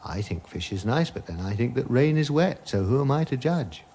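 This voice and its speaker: An actor playing a vague, gentle hermit who doubts all reality, in a radio comedy drama. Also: male voice, mid-range voice, big pitch swings, moderate pace, slight background noise, natural voice. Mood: musing. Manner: musing, detached, hesitant, questioning everything.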